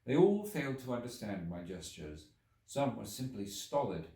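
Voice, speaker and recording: Echoey distant recording, male audiobook narrator, very animated intonation, expressive theatrical storytelling. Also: medium pitch, normal speed. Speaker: audiobook reader. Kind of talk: dramatic literary audiobook narration. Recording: roomy echo, distant microphone.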